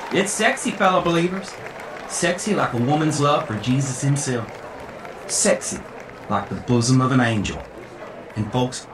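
A zealous, pompous revival preacher, acted by a man, preaching with lecherous relish in a theatrical sermon. His slightly high voice rings through a roomy space over a noisy crowd. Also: far from the mic, natural voice. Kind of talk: theatrical fire-and-brimstone sermon.

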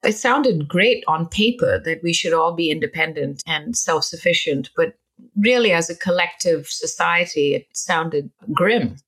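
A woman host leading an interview in a measured, conversational way. Her voice sits a little low and has a soft, breathy edge. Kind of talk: radio-style interview.

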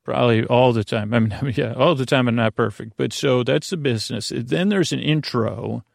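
Creaky guy rambling on a sleep podcast, keeps stumbling and cutting himself off, close dry sound. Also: medium pitch, no echo. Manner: meandering, halting, often self-interrupting. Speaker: podcast host.

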